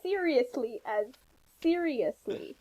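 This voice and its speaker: A woman's voice: high voice, lively intonation, takes it slowly, darkish tone, slight background noise, low-quality recording. A woman giving casual, joking commentary in a wry, sarcastic manner. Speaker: co-commentator on a fan fiction reading.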